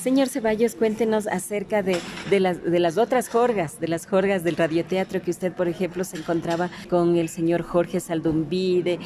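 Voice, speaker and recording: A woman's voice: fairly low pitch, fairly even intonation, moderate pace, few pauses, background noise. A woman asking formal, respectful interview questions on location. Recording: location recording with crowd murmur.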